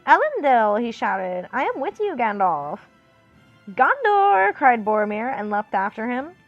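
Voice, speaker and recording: A woman's voice: mid-range voice, lively intonation, moderate pace, no echo, lo-fi sound, soft background music, lightly acted. A woman voicing a ditzy dumb-blonde girl reading an epic fantasy storybook, every phrase lilting up like a question. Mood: excited, dramatic. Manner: airy, questioning upspeak.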